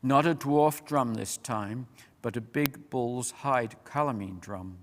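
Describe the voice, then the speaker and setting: Male reader, dramatic storybook narration, unhurried pace, mid pitch, long hall reverb. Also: a little hiss or hum. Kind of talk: dramatic audiobook narration of a children's fantasy.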